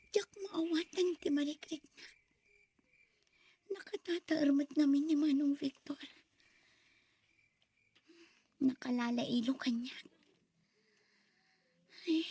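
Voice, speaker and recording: An actress as a young woman office worker in a radio drama. Her voice is high and recorded dry. She is coy and expressive, with sighing exclamations. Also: dry recording. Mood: whispered, troubled. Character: young female office worker, coy, torn over brother-in-law.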